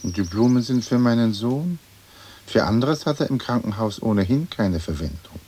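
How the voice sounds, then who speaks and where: An actor playing a haughty, sardonic English lord in a radio play, with an aloof, dry, precise way of talking, on an old recording. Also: male voice, mid-range voice, lively intonation, normal speed, warm tone, breathy edge. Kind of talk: German radio play acting.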